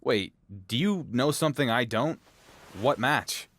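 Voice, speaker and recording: Male champion, mid-pitched, self-assured and wry, video game wrestling drama. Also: measured pace, fairly bright tone. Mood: confident. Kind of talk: video game cutscene acting.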